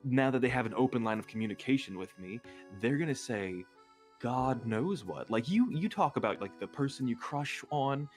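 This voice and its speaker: A man's voice: medium pitch, lively intonation, moderate pace. A man chatting casually as a guest on a livestream, speaking hesitantly in short, broken-up pieces. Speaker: guest content creator. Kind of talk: casual livestream chat, personal anecdotes. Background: faint music.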